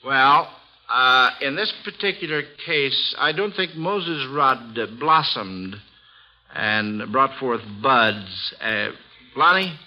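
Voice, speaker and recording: A man's voice: medium pitch, lively intonation, slow. A man hosting a 1940s radio quiz for children, genial and hesitant with frequent uhs. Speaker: quiz show host. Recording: dull lo-fi old radio recording.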